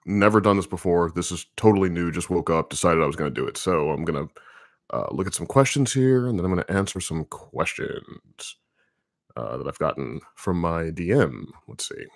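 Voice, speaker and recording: A man with a very deep voice, a comics writer casually answering viewer questions on a livestream, thinking aloud with lots of ums. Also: normal speed, no room sound, clean studio sound. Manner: relaxed, rambling, thinking aloud with many fillers.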